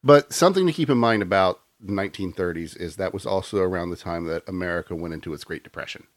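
Male co-host, low and dry-miked, wry and laid-back. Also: fast talker, no room sound. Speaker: podcast co-host. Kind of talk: casual storytelling chat.

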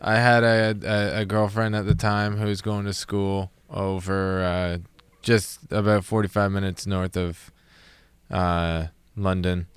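A young man from Canada co-hosting a casual podcast, laid-back and joking with drawn-out fillers. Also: low voice, flat delivery, clear, resonant tone, dry recording. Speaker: podcast co-host. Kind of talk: casual chat.

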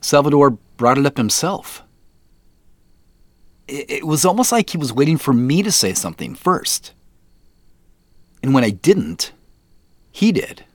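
A man's voice: medium pitch, big pitch swings, unhurried, long pauses, no room sound. A man acting as a guy on a family quest in an audio drama, in natural, conversational, slightly hesitant dialogue. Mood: pensive, intrigued. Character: Joseph, adult man on a mysterious family quest.

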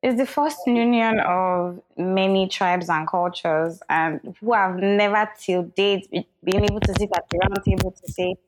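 A woman's voice: mid-range voice, unhurried, few pauses, poor audio. A woman co-hosting a podcast, talking things through reflectively with fillers in casual chat. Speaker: podcast co-host.

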